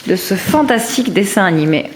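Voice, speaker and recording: A woman's voice: medium pitch, lively intonation, brisk. A woman chatting casually, asking playful, encouraging questions as a mother presenting a home video with a child. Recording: echoey room, clear background noise.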